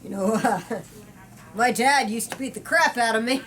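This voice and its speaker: Woman acting out a loud, wounded, belligerent father. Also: a little high, close to an everyday voice. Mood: falsely jovial. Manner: bellowing, pleading, quick to anger.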